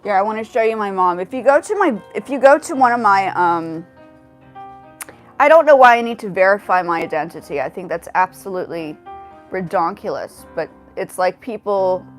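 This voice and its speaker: Host, forties woman, mid-pitched voice, loose rambling chat to viewers, faint music under her. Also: lively intonation, moderate pace. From grew up in South Africa, the United States and Japan. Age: 40s. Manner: rambling, full of restarts and asides to chat. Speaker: livestream host. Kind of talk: casual livestream chat.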